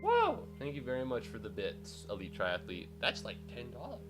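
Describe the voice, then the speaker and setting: A young man chatting casually on a live stream, congested and rambling with excitable outbursts. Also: medium pitch, moderate pace, loud music behind the voice.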